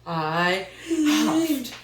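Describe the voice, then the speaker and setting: Woman reading as an animated, wronged storybook wolf, echoey. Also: high-pitched, drawn-out words, background noise, heavily acted. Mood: indignant. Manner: dramatic, emphatic storytelling. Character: wolf storyteller, wronged, folksy, mock-innocent. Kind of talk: animated children's storybook read-aloud. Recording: echoey room, distant mic.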